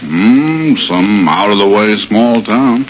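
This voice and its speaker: An actor playing a dry, teasing male police lieutenant who speaks deadpan, in 1950s radio drama style. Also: lowish voice, slow, dull audio, a little hiss or hum.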